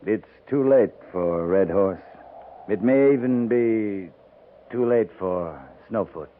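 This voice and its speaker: An actor playing a weary, principled cavalry captain in 1950s radio drama, clipped and grave in delivery. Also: male voice, fairly low pitch, slow pace, noisy background. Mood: grave, somber. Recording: muffled, narrow-band 1950s radio recording.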